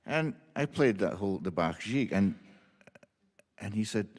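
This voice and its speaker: A man with a low voice answers from the panel with keen enthusiasm. He tells his stories eagerly. The sound trails off in a hall with a long reverb. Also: expressive, normal speed, a little mellow, soft background music. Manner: enthusiastic storyteller. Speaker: panelist, performer and teacher. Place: symposium hall.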